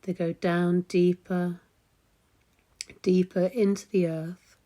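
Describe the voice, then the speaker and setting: Lady doing guided meditation, really slow and soft toned, mellow relaxed voice, long gentle pauses. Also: fairly low pitch.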